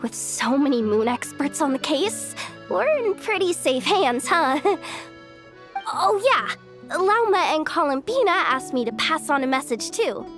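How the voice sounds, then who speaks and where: A cheerful young courier girl, high and very lively in pitch. She is playful, casual and a bit mercenary, a video game character over light music. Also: unhurried, exaggerated voice. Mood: breezy. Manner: breezy, chatty, playful.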